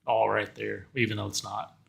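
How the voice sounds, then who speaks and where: A man's voice: lowish voice, flat delivery, normal speed, vocal fry, a bit bright. A man chatting casually as a co-host on a sports podcast. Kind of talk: casual chat.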